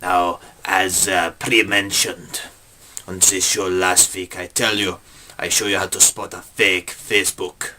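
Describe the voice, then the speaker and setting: A man voicing a pushy sponsor pitchman who speaks in broken English. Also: little pitch movement, a little slow, sharp tone, a little hiss or hum, exaggerated voice. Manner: blunt, salesy.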